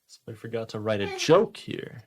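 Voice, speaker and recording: A man's voice: fairly low pitch, sing-song, a little fast, slightly rough. A man hosting a comedy radio show, reading out one-liner jokes and bantering casually.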